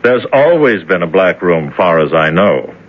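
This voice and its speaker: An actor playing a calm, cold man in charge of a dark cell, speaking in a detached, matter-of-fact way, in a 1970s radio drama. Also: low-pitched, moderate pace. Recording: dull old radio recording.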